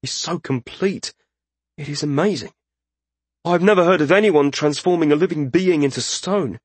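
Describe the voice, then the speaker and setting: Man voicing an earnest, troubled young mage, pitched high. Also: normal speed, slightly rough, no echo. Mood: awed. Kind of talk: fantasy audiobook character voice.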